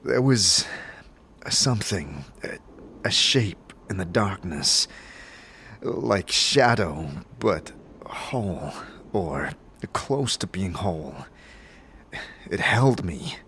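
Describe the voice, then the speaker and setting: A man playing a weary, shaken adventurer in a fantasy audio drama, terse and halting. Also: sits low, expressive, a bit bright, a little hiss or hum, heavily acted. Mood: haunted, halting. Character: weary, shaken male adventurer, blunt and impatient.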